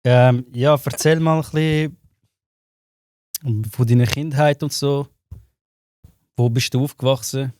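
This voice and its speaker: A man's voice: mid-range voice, fairly even intonation, measured pace, long pauses, darkish tone, smooth, no room sound, studio quality. A man with a Swiss German accent hosting a casual podcast interview, asking blunt, direct questions. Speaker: podcast host.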